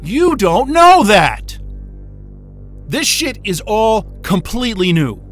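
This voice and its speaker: Frantic, high-pitched exhausted man. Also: sing-song, slow, fairly bright tone, soft background music, heavily acted. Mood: defiant, angry. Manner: agitated, sweary, stammering. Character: frantic, exhausted, angry male station crewman. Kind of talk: audio drama acting.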